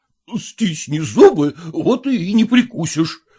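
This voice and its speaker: A man voicing an irritable, pompous gentleman husband who blusters at peasants. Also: sits high, big pitch swings, slow, breathy edge, exaggerated voice. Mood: indignant. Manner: indignant, blustering.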